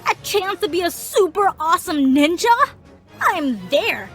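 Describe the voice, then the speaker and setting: A woman voicing eager, plucky teenage high school girls in a cartoon story. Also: lively intonation, unhurried, fairly bright tone, soft background music, heavily acted. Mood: confident, eager.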